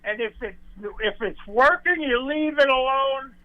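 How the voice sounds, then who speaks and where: A man's voice: extremely high pitch, a little slow. A man in his eighties calling in to a radio show, insistent and rambling as he makes his point. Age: 80s. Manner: insistent, rambling, frustrated fan. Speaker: caller. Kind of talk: radio call-in. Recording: tinny phone line.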